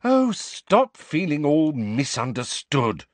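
Storybook role: bossy, clever teen girl student, brisk and exasperated, lifted pitch male narrator performing. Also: big pitch swings, slightly rough, no room sound. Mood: impatient, sharp. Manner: brisk, exasperated, matter-of-fact.

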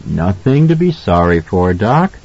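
A man's voice: sits low, sing-song, a little slow, a little mellow, heavily acted. A man voicing an uneasy, remorseful father talking to his young son in an audiobook, muttering and placating. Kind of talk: audiobook character voice. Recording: slightly dull, lo-fi audio.

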